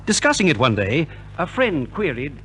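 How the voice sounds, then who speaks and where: Animated, theatrical 1950s radio compere, male. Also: fairly high pitch, moderate pace, soft background music, low-quality recording. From Australia. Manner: formal, theatrical announcer delivery. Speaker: compere and narrator. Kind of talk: 1950s radio scripted narration. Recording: 1950s radio transcription recording.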